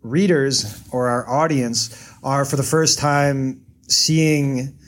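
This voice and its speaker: American man, interview guest, mid-pitched, loose and thoughtful, plenty of ums. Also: fairly even intonation, takes it slowly. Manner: thoughtful, hedging with many fillers. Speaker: guest, data journalist. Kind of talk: casual interview answers.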